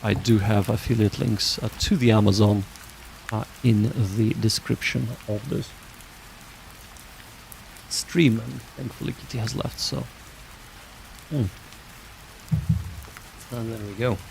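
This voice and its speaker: A man hosting a livestream, chatting casually with viewers in a halting, rambling way that often restarts sentences. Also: lowish voice, steady tone, gravelly, a little mellow, a little hiss or hum, poor audio. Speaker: livestream host.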